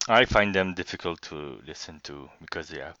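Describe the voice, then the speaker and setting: A man's voice: low-pitched, lively intonation, moderate pace, sharp tone, no echo. A man co-hosting a hobby podcast, joking and laughing often, on a dull lo-fi mic. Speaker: podcast co-host. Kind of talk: casual chat.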